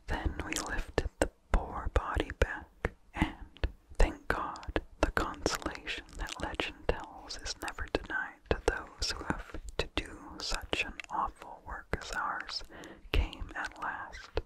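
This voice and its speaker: Slow, whispered ASMR storyteller. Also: low-quality recording. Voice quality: breathy, unvoiced whisper. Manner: slow, deliberate, hushed delivery with long pauses. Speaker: ASMR host reading a vintage horror story. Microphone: close microphone with incidental mouth sounds.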